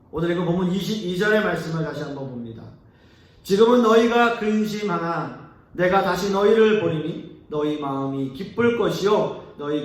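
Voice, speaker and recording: High, lively preaching man in an echoey hall. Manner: earnest preaching, rising on key words, sentences trailing off soft and low. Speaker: pastor. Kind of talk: sermon. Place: church sanctuary. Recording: echoey church hall, mic at a distance.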